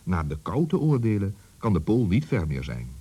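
A man's voice: deep voice, big pitch swings, moderate pace, dark tone, breathy edge. A man narrating a scripted children's story as the storyteller.

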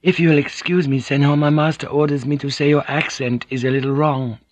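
A man voicing a poised, formal noblewoman interpreter who speaks in a precise, polite, measured way. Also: on the high side, fairly even intonation, slight background noise, pitched above the performer's own voice, natural voice.

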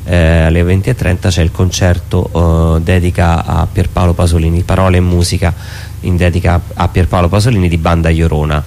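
Low-voiced man in radio interview. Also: level tone, a little fast, a little mellow, a little hiss or hum. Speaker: theatre performer, interview guest.

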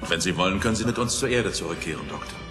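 An earnest, deep-voiced spacefarer, echoing. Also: male voice, expressive, racing. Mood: concerned. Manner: polite, earnest. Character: earnest, admiring male space expedition scientist. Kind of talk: dramatic audio play acting. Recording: echoey hall with music behind.

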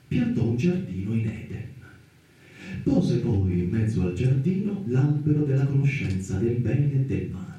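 A man narrating radio theatre, reciting scripture in a solemn, declamatory, measured and theatrical manner. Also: mid-range voice, mellow, muffled recording. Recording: very reverberant, distant voice over music. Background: music.

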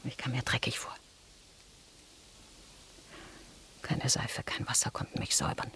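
An actress playing a bitter, vengeful French noblewoman in a radio drama, intense and husky, with creaks in her voice. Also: brisk, fairly bright tone, in a whisper, close to an everyday voice. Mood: hushed, vengeful.

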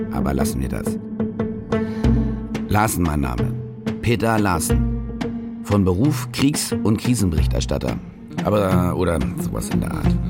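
An actor playing a cynical, brash fake war reporter in a radio crime drama, speaking in a sardonic, colloquial, commanding way. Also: male voice, low voice, gravelly, full character voice. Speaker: protagonist and first-person narrator. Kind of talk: radio crime drama acting with casual narration. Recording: music behind the voice.

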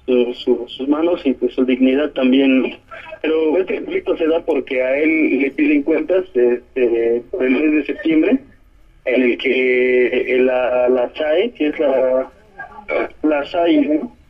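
A man from Oaxaca with a southern Mexican accent gives a halting spoken testimony by telephone, often adding short tag questions. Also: medium pitch. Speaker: detained community activist giving a statement. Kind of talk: spoken testimony by phone to a press conference. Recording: muffled, narrow-band telephone line.

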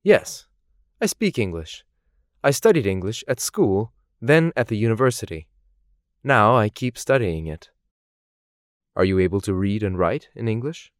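This is a man reading a language-course script. His voice sits a little low but moves lively up and down. He speaks slowly and clearly, and the recording is dry and close. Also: no echo. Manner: slow, clearly articulated, measured delivery. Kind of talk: scripted textbook dialogue reading.

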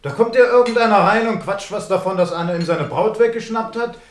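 A man's voice: sits high, brisk, lightly acted. A man playing an indifferent, dismissive pub patron in a radio play. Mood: scoffing. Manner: dismissive, scoffing. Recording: roomy studio sound.

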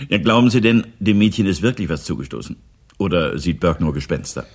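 Animated, self-assured businessman of fifty. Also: low voice, fairly quick, slightly rough, heavily acted. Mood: wry, mocking. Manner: casual, wry, chuckling. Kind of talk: 1980s German radio play acting.

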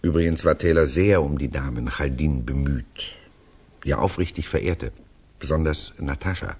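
An actor playing an urbane, reflective storyteller, speaking deliberately and wryly to a friend in a radio drama. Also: male voice, extremely low pitch, darkish tone, slightly rough. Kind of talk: radio drama narration, conversational.

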